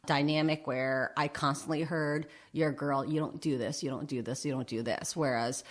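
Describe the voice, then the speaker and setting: A middle-aged woman with a low voice talks as a guest in a casual interview. She tells her own story candidly, with self-deprecating humour. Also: steady tone, moderate pace. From United States, Vietnamese immigrant family, arrived aged three. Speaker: interview guest, drag king and public speaker. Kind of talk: animated personal storytelling in casual chat.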